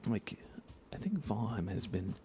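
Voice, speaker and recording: A soft-spoken man chatting casually on an ASMR gaming livestream, relaxed and often slipping into a whisper. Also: lowish voice, measured pace, faint music behind. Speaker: livestreamer and host.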